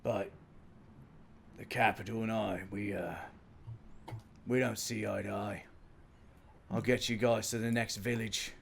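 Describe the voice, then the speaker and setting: A man acts out a big, gruff outcast from a fantasy tabletop game. The voice is low and full of gravel, with plain, folksy, terse phrasing. Some background noise sits behind it. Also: steady tone, measured pace, pauses often. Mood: gruff, grateful. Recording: noisy background ambience.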